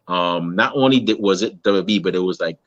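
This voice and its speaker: Deep-voiced male interviewer from Guyana, halting and casual, dull muffled recording. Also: a bit bright. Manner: halting, frequent restarts and "you know". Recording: dull lo-fi sound.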